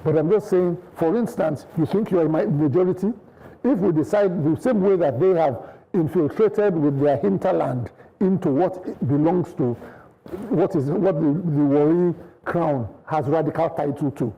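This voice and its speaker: A Nigerian man arguing on a studio news panel, his voice fairly high but very dark and mellow. He talks insistently, circling back through restarts and half-finished clauses as he builds his case. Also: moderate pace, rough recording. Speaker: studio guest. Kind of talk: panel debate.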